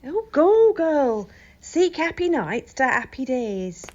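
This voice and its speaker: A woman acts an old, sentimental nurse from a Shakespearean audio play. She rambles fondly in a high, animated voice, taking her time over exclamations. The home recording is slightly dull. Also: takes it slowly, exaggerated voice. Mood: eager, calling. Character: garrulous, sentimental, doting older female nurse. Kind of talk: Shakespearean audio drama acting.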